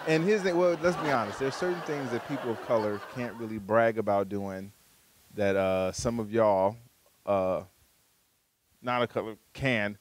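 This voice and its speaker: A man in his forties doing a stand-up comedy set on a small studio stage with a handheld mic, talking conversationally with playful asides and high-pitched voices for punchlines. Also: fairly even intonation, moderate pace, a little hiss or hum. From Chicago, USA. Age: 40s.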